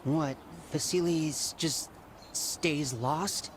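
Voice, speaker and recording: A man's voice: on the high side, extremely slow, slight background noise. A man playing a loyal, spirited younger Spartan brother in a video game, eager and supportive. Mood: curious, supportive. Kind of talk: dramatic video game acting.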